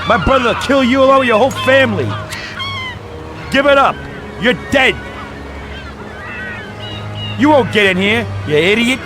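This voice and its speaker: High, shouting gangster. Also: male voice, moderate pace, pauses often. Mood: taunting, defiant. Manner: shouting taunts and threats. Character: snarling, taunting gangster under attack, contemptuous and desperate. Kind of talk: video game voice acting. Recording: loud music over the voice.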